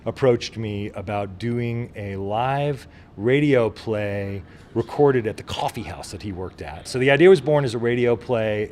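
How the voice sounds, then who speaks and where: A man's voice: lowish voice, darkish tone, slight background noise. A man speaking informally and with enthusiasm as the guest in a casual interview, explaining his work as a writer and producer.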